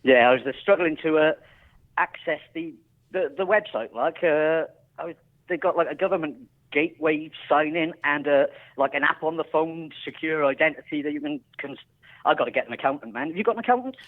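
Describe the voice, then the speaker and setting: Welsh male caller, northern twang, fairly high voice, hesitant, heard over a tinny phone line. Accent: Welsh with a northern English twang. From Wales. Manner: halting, full of fillers, exasperated. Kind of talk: phone-in chat.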